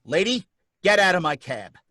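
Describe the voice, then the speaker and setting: A jittery, slangy cab driver. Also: male voice, high voice, normal speed, very noisy. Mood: pleading. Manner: excitable, pleading, folksy slang. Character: jittery working-class male cab driver. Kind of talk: old-time radio drama.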